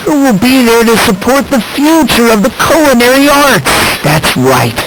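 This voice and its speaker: An unsettling, hope-obsessed teenage boy from a video game. His speech is courteous and needling, sliding up and down in pitch with theatrical flair. The clip has clear background noise. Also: heavily acted. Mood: wistful, disappointed. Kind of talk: English video game voice acting.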